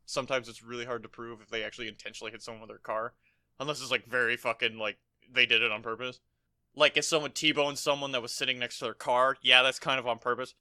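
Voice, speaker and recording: Very bright, forceful man in casual chat. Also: medium pitch, steady tone, quick pace, clean tone. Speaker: podcast co-host. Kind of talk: casual movie-review chat.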